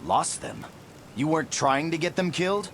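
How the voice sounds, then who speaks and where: An actor playing a bold, confrontational soldier hero in a fantasy video game cutscene. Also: male voice, fairly high pitch, huge pitch swings, normal speed, fairly bright tone, slight background noise, exaggerated voice. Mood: challenging.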